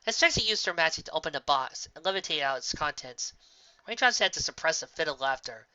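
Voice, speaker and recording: Amateur male story reader, bright and tense, occasionally tripping on words, close dull home recording. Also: on the high side, expressive, fast, clear, resonant tone, dry recording. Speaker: fan fiction reader. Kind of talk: scripted story narration.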